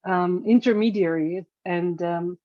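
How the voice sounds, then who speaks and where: A woman's voice: fairly low pitch, unhurried, no echo. A woman, a singer-songwriter speaking as an interview guest, reflective and searching for her words. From Eastern Europe. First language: Russian. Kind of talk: casual interview talk. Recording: dry, dull lo-fi sound.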